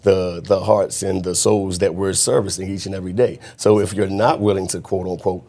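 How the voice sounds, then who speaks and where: Deep, flat-voiced man speaking earnestly. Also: brisk. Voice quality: loud voice. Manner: earnest, reflective, restarts often. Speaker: guest mentor. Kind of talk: conversational interview.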